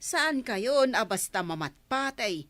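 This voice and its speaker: A woman's voice: a little high, animated, slow, a bit bright, no echo. A woman with a Filipino Ilocano accent playing a blunt, exasperated village friend who chides others in a radio comedy drama. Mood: blunt reproach.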